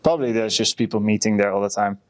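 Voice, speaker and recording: A man's voice: on the low side, fast. A man co-hosting a podcast, chatting casually and reading out reviews. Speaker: podcast co-host.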